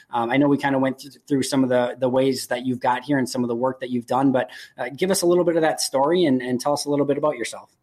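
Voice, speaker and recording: A young man hosting a sports podcast, upbeat and eager, introducing a guest and asking relaxed questions. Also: mid-range voice. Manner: upbeat, eager, says "really" a lot. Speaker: podcast host.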